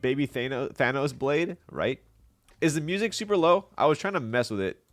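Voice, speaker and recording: A man's voice: mid-range voice, expressive, normal speed. A man hosting a casual live stream, talking with viewers while he builds something. He sounds congested with a stuffy nose, and he is chatty and laughs often.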